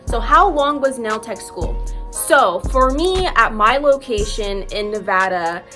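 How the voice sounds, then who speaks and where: A young woman in her twenties, originally from Chicago, vlogs about her nail tech work in a loose, chatty ramble full of fillers. Her voice sits a touch higher than most. Faint background music plays beneath her talk. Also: expressive, unhurried, bright, forceful tone. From Chicago, United States. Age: 20s. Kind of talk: casual talking-to-camera vlog. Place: at home, now in Chicago.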